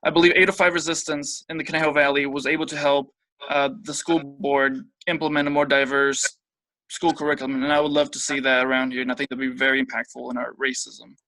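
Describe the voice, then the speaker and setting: A man's voice: medium pitch, flat delivery, fast, bright, forceful tone, dry recording, rough recording. A man answering as a mayoral candidate at a town forum over a video call, hesitant and earnest.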